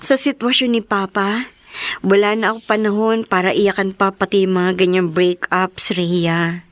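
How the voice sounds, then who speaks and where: An actress playing a weary, self-sacrificing daughter in an emotional, tender Filipino radio drama. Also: female voice, medium pitch, fairly even intonation, measured pace, no room sound, lightly acted. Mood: guarded, weary. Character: weary, self-sacrificing grown daughter caring for sick father.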